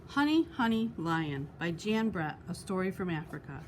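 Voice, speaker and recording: A woman's voice: lowish voice, sing-song, normal speed, a little hiss or hum. A woman reading a children's picture book aloud expressively, as a storytime host. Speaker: storytime reader.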